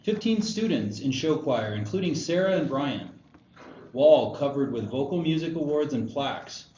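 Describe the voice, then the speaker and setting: A man's voice: a little high, lively intonation, normal speed, warm tone. A man narrating stage directions at a screenplay table read. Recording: echoey room, muffled, faint noise.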